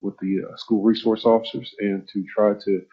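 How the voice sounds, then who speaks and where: Quiet calm guy, low flat voice, safety director at a board meeting, kinda dull audio. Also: moderate pace. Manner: soft-spoken and calm. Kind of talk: presentation to a board.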